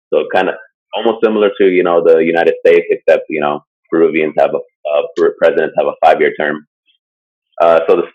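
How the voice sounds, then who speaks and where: A man co-hosting a casual podcast, talking through a topic in a loose, rambling way full of fillers. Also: sits low. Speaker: podcast co-host presenting a topic. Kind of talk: casual chat. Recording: dull lo-fi sound.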